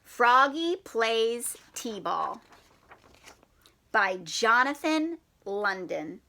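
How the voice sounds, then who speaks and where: A woman reading a children's picture book aloud like a teacher, expressive with drawn-out words and playful questions to her young listeners. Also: on the high side. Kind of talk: animated storybook read-aloud with questions to children.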